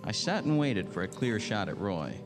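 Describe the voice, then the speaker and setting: An actor playing a tough, world-weary noir detective, narrating and talking tersely in 1940s radio style. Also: male voice, on the low side, big pitch swings, normal speed. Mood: tense narration. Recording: loud music behind the voice.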